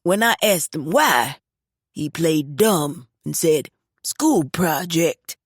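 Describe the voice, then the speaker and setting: Lady reading as an amused dad, slow drawl, lively pitch. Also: breathy edge, no room sound, clean studio sound, exaggerated voice. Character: amused father in a men's group.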